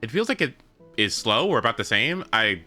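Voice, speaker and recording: A man chatting casually as a game livestreamer, thinking aloud in a halting way and repeating words. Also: medium pitch, sing-song, bright, forceful tone, faint music behind. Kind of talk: casual livestream chat over gameplay.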